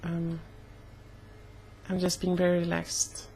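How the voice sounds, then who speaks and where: A young woman talking casually in a personal vlog, slightly hoarse, with an earnest, halting way of speaking. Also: fairly low pitch, monotone, dark tone, slight background noise, poor audio. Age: young adult. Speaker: vlogger.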